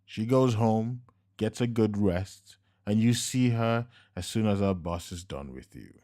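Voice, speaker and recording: A suave henchman with polished manners drawls each word slowly, played by a man. His courtesy is calm and smug, with a low voice and menace underneath. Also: close to an everyday voice. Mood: smooth. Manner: slow, measured, courteous and smug. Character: suave, menacing male henchman, coolly polite.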